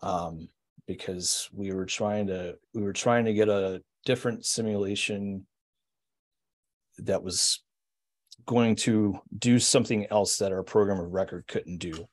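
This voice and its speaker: A man's voice: on the low side, measured pace. A man hosting a podcast in casual chat, easygoing and agreeable, often chiming in with short yeahs.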